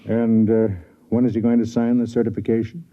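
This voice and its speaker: An actor playing a kindly, self-deprecating, quietly lovelorn man who also narrates, in a vintage radio drama. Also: medium pitch, level tone, brisk, close to an everyday voice. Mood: gentle, probing. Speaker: first-person narrator and lead. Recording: dull vintage radio recording.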